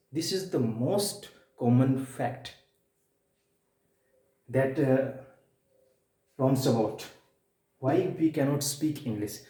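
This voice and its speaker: A man from India with an Indian accent and Hindi as his first language, giving a spoken-English lesson in a repetitive way, with rhetorical questions and emphatic pauses. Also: mid-range voice, dark tone. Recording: echoey room.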